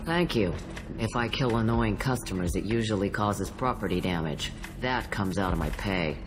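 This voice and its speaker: A world-weary, sardonic female alien elder working a bar in a video game. She is blunt and dry, tossing off threats as wit. Music is clearly audible behind her. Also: a bass voice, lively intonation, normal speed. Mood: dry, wry. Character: gruff, sardonic old female alien matriarch bartender.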